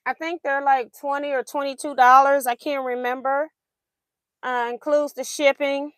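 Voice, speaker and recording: Brief: high-pitched woman, chatty livestream host, slightly strained voice, dry close sound. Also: level tone, moderate pace, dry recording. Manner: chatty, laughs often, reads viewer comments aloud. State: voice going in and out. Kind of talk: casual livestream chat while sewing.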